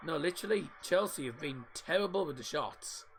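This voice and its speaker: A man, voice croaky from a sore throat, giving casual live football commentary and rambling chat on his own stream. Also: a little high, animated, brisk, a bit bright, slight background noise. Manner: casual, rambling, mixes up names.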